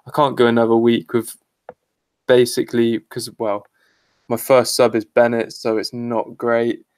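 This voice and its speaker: A man's voice: medium pitch, completely flat delivery, a little slow, darkish tone, smooth, no room sound, studio quality. A man co-hosting a fantasy football podcast in casual chat, speaking bluntly and swearing freely.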